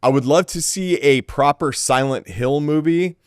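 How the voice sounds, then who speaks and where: A man's voice: fairly high pitch, normal speed, smooth, no echo, studio quality. A man co-hosting a podcast, chatting casually in an informal, emphatic way. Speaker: podcast co-host.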